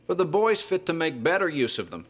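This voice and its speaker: A man's voice: on the high side, sing-song, brisk, pitched above the performer's own voice. A man voicing an old rural grandfather, proud and muttering, in a gothic horror audio drama.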